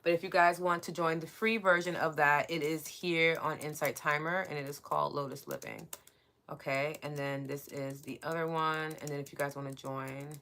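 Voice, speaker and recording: A woman's voice: deep voice, moderate pace, a little hiss or hum. A woman with a strong New York accent hosting a live spiritual podcast, chatting and reading out listener comments. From New York City. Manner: warm, chatty, reads out listener comments and thanks them. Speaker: podcast host and coach.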